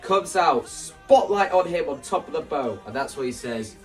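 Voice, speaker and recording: A man gives a casual, animated walkthrough of staging ideas. He sounds some distance from the microphone, and music plays underneath as he talks. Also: on the high side, normal speed. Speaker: video creator explaining stage play ideas. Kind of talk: casual spoken walkthrough to camera.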